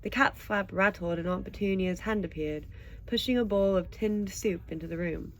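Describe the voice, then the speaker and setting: A woman's voice: medium pitch, moderate pace, poor audio. A woman reading stories aloud expressively and chatting casually about them. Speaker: audiobook reader and show host. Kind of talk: expressive storybook narration, then casual chat with a child listener.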